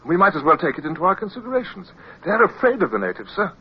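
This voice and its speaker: An actor playing an earnest young British naval lieutenant in a radio drama, respectful and formal, persistent though a little hesitant. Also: male voice, high voice, big pitch swings, fast talker, slightly rough, exaggerated voice. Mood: earnest persuasion. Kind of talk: radio drama acting with first-person narration. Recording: muffled, noisy old radio recording.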